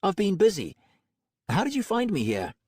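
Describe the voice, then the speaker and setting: A woman's voice: medium pitch, huge pitch swings, moderate pace, a little mellow, husky, no room sound, clean studio sound, voice pushed up. A man reading an audiobook, voicing a brash, hard-edged woman who talks brusquely. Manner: brusque, defiant.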